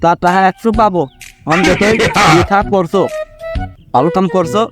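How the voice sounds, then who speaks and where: A man's voice: sits high, steady tone, fairly quick, music in the background. A man playing a chatty, cajoling village creditor in a comic skit, with a rural Bangladeshi accent and a voice-changer effect. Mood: magnanimous, chatty. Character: talkative village man chasing a small debt from friends. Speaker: comedy skit performer. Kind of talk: comic dialect skit dialogue.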